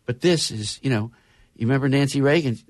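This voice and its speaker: A man's voice: mid-range voice, fairly quick, a little mellow, no echo, clean studio sound. A man hosting a casual chat show, talking loosely and reading news stories aloud with wry asides. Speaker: podcast host.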